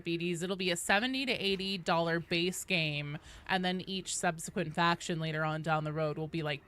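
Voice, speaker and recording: Animated, bright-voiced woman streamer. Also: medium pitch. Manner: animated, informal, freely swearing. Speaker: live streamer hosting a game stream. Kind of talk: casual livestream chat with viewers.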